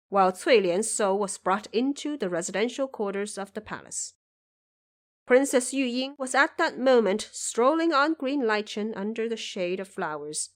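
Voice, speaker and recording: A woman's voice: mid-range voice, a bit bright. Woman narrating an audio drama. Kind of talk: scripted storytelling narration.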